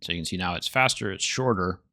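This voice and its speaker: A man hosting a casual software tutorial, thinking aloud as he demonstrates. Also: low voice, fast talker, dry recording, clean studio sound. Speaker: tutorial host.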